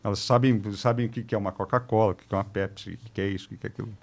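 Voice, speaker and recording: A man's voice: lowish voice, steady tone, racing, darkish tone. A man, chatting as a podcast guest and repeating phrases as he forms his points. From Campo Grande, Brazil. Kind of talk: casual chat.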